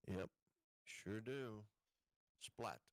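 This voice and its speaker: A man's voice: lowish voice, very slow, no echo, clean studio sound. A man chatting casually as a player in a tabletop game.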